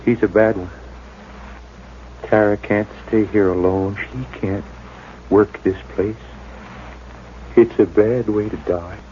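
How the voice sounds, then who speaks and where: A man playing a weak, dying old rancher who speaks in faint, halting phrases in a 1950s radio drama. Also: fairly low pitch, steady tone, dark tone. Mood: weak, pleading. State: weak, short of breath. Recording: dull old radio recording with hiss.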